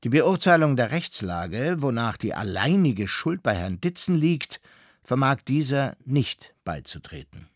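A stiff, formal divorce lawyer reading a legal letter aloud, in a radio play. His delivery rises and falls with lively pitch, and the sound is dry and close. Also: measured pace, no echo.

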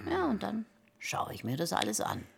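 An actress playing a bored, eccentric emergency-room onlooker, chatting matter-of-factly in a radio play. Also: female voice, lowish voice, wildly swooping pitch, normal speed, gravelly, breathy edge, full character voice. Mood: chatty, self-satisfied. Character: bored eccentric woman who watches emergency rooms as hobby.

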